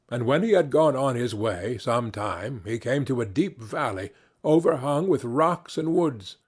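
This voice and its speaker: Expressive, high-voiced husky male storyteller. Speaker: audiobook narrator. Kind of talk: scripted storybook narration.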